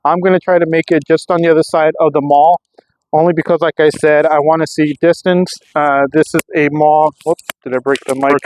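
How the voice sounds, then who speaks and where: A man's voice: fairly high pitch, flat delivery, fairly quick, darkish tone. An American man talking casually and off the cuff as a vlogger testing gear, rambling and thinking aloud. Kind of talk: casual unscripted vlog narration. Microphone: clip-on microphone.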